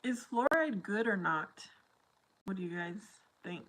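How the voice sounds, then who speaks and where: A woman's voice: mid-range voice, animated, measured pace, fairly bright tone, poor audio. Young-sounding woman chatting on a livestream. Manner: chatty, laughs often, reads out comments. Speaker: livestream host reading chat. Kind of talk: casual live chat with viewers.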